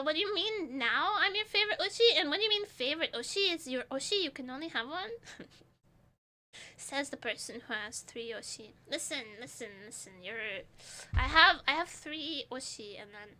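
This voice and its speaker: A woman's voice: high voice, pushed and bright. A woman from Portugal, chatting casually with her live chat as a streamer, giggly and rambling.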